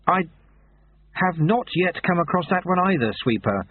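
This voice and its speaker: A man's voice: high voice, animated, moderate pace, a little hiss or hum, pitched above the performer's own voice. A man voicing an anxious, bewildered young novice who keeps asking questions. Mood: shaky. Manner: questioning, shaky and earnest. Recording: tinny phone-like sound.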